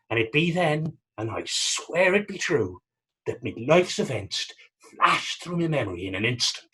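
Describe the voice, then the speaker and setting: A man playing a battered, pious and self-mocking fisherman in readers theater, telling his story in halting, folksy dialect. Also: fairly high pitch, a bit bright, breathy edge, heavily acted. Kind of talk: readers theater dramatic dialogue.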